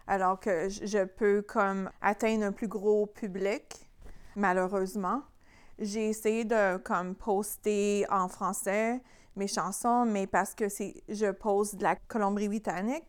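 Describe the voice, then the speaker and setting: A woman with a Québécois French accent, speaking as an interview guest in an informal, halting conversational way. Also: medium pitch, fairly even intonation, smooth. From Quebec, Canada. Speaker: singer-songwriter, interview guest.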